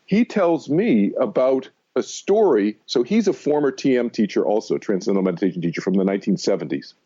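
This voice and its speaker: An American man in his sixties with a mellow, dark voice, talking as an interview guest. He tells stories emphatically and loops back over his points. The sound is slightly dull and lo-fi. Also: on the low side, lively intonation, fairly quick, husky. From Massachusetts, United States. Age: 60s. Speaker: interview guest, meditation teacher. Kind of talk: conversational radio interview, personal storytelling.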